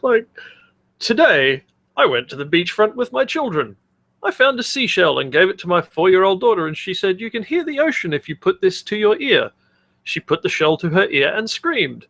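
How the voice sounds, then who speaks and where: A sardonic man co-hosting a casual comedy podcast. His voice sits a little high and swings widely in pitch, with a wry edge to both his banter and his mock-dramatic reading. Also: sing-song, moderate pace, creaky, a bit bright. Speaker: podcast co-host.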